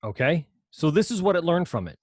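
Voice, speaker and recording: A man with a low voice teaching an audio production class over a screen recording, explaining in a casual, rambling way with frequent self-corrections. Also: big pitch swings, a little fast, darkish tone, dry recording, studio quality, faint music behind. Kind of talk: screen-share software lesson.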